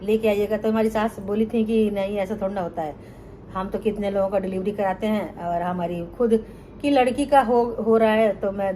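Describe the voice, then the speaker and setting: A woman's voice: medium pitch, steady tone, moderate pace, mellow, slight background noise, poor audio. A middle-aged woman from Bihar, telling her life story to viewers in a chatty vlog and often calling them friends. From Bihar, India. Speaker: cooking channel host.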